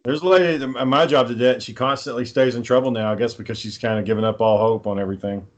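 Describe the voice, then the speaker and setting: A man's voice: medium pitch, steady tone, fast, lo-fi sound, crowd noise. Profane, casual male podcast co-host. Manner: profane, blunt. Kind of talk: casual chat, telling stories.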